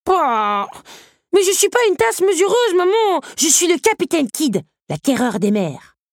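A woman voicing an excitable, boastful little boy teacup playing pirate in an audiobook story. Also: very high-pitched, a little slow, no echo, clean studio sound, pitched above the performer's own voice, heavily acted. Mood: defiant. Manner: boastful, exuberant.

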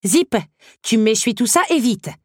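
A woman voicing a brisk, firm, motherly teapot in an audiobook story. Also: a little high, animated, darkish tone, dry recording, clean studio sound. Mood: scolding. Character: brisk motherly female teapot, scolding yet polite.

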